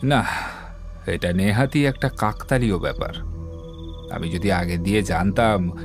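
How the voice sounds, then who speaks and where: A methodical detective acted by a man. He explains in measured, assured phrases, as in an audio mystery play. Music runs underneath. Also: low-pitched. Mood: measured, serious. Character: composed, methodical male Bengali detective explaining a case. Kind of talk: dramatised audio detective story.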